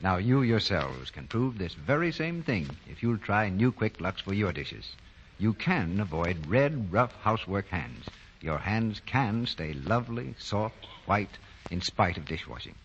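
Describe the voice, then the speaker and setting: A man's voice: on the low side, big pitch swings, measured pace, lo-fi sound. An American man reading scripted commercials and credits as a 1940s radio announcer. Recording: noisy, band-limited 1940 radio broadcast.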